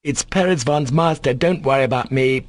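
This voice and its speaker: An insolent schoolboy as a male narrator acts him, high and loud, with clipped, cutting delivery. He is cocky and sharp-tongued in this dryly recorded storybook scene. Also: moderate pace, dry recording, clean studio sound. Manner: loud, incisive, rapped-out delivery.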